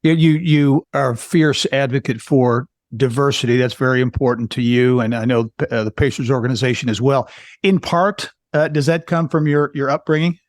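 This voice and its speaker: A man's voice: mid-range voice, fairly even intonation, moderate pace, dry recording. A male podcast host with a mid-range voice leads an interview in a friendly, conversational manner. He sets up his guest's story before asking open questions. The sound is dry and close to the mic. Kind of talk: interview hosting.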